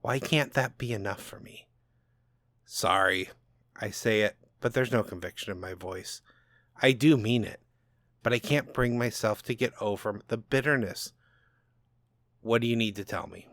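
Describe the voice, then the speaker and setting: A man playing a bitter, self-loathing old father in a subdued, halting audiobook narration. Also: fairly low pitch, fairly bright tone, no room sound, clean studio sound. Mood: brooding regret.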